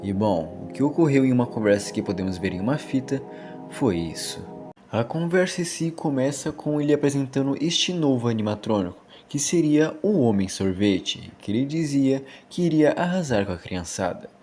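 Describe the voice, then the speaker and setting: A man's voice: medium pitch, lively intonation, normal speed, a little mellow. A man with a Brazilian accent narrating a storytelling commentary on a horror series. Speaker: video essay narrator. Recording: music under the voice. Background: background music.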